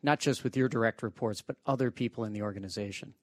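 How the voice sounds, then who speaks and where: A man's voice: lowish voice, quick pace, no echo. A man hosting a business radio interview, asking conversational questions and reading break announcements. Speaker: radio show host, venture investor.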